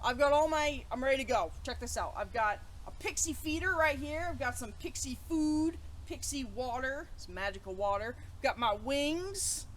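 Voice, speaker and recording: A woman hosting a children's story time, chatting playfully to kids and reading aloud in an animated way. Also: high voice, normal speed, few pauses, a little hiss or hum.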